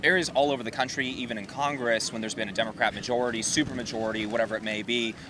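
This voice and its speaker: A man interviewing people on a noisy city street with traffic around him. He talks quickly in an average-pitched voice and keeps probing with follow-up questions. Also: steady tone. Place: outdoors on a busy urban street.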